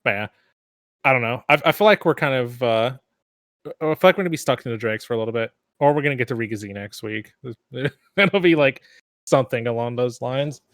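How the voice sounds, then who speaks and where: A man's voice: medium pitch, fairly quick, fairly bright tone, no echo, clean studio sound. A man bantering casually as a panelist on a gaming podcast.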